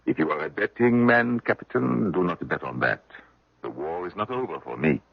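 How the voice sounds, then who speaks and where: An actor playing a proud, wry, defiant French general with a gravelly, dry delivery in a radio drama. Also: male voice, low voice, animated, normal speed, no room sound, full character voice. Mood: wry defiance. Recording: muffled old radio broadcast.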